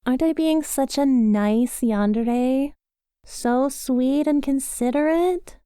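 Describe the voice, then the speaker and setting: A woman's voice: sits high, slow, mellow, clear, resonant tone, no room sound, studio quality. A woman playing a bubbly, inept young yandere schoolgirl in an ASMR roleplay, chatty, melodramatic and wheedling as she talks to her crush. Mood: pleading, wheedling.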